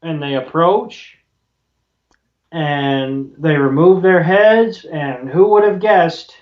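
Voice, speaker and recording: A man's voice: on the high side, slow pace, lo-fi sound. A man co-hosting a podcast, casually retelling a show in a folksy, mildly profane way. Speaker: podcast co-host. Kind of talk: casual episode recap chat.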